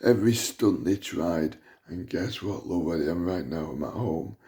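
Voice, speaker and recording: A man with a loud voice, delivering an indignant, repetitive rant to camera as a vlogger. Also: low-pitched, measured pace. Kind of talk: ranting monologue to camera.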